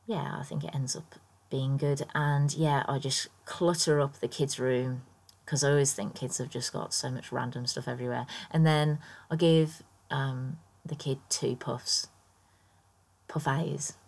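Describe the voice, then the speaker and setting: A woman with a croaky morning voice, chatting through her game build in a rambling, casual voiceover full of ums and small laughs. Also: very deep voice, little pitch movement, a little slow. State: croaky, just woken up. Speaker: Sims builder YouTuber.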